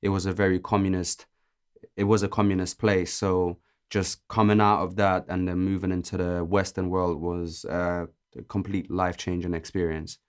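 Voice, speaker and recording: A man in his late twenties, originally from Azerbaijan, chatting casually as a podcast guest, laid-back and laughing often, with many pauses and ums. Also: low-pitched, flat delivery, clean tone. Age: 20s. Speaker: podcast guest, music producer. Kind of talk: casual interview chat.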